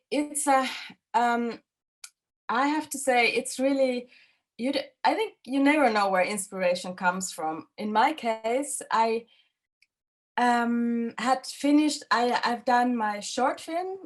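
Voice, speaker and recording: Woman director, 30s, English, halting answers full of fillers. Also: a little high. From Finland. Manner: halting, many fillers and restarts. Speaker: film director. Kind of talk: festival interview, casual answers.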